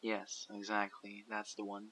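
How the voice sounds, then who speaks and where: A man voicing a guarded survivor leader who recruits people for a community, asking measured, probing questions in an audio roleplay. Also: on the low side, completely flat delivery, sharp tone, a little hiss or hum, rough recording. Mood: calm, probing. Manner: measured, probing, matter-of-fact. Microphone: USB condenser microphone.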